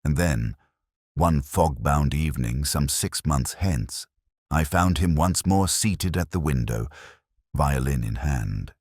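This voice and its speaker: A very low-voiced man narrates a detective tale. His delivery is measured and solemn, yet the pitch moves expressively through each phrase. The recording is close and dry. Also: animated, a little mellow, dry recording. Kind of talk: scripted audiobook narration.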